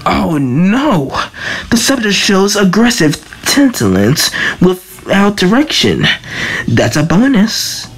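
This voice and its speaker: A woman's voice: medium pitch, sing-song, slow pace, breathy edge, exaggerated voice. A woman voicing a devoted, obsessive lab assistant to a villain, deferential and earnest, in an amateur comic dub. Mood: anxious, attentive.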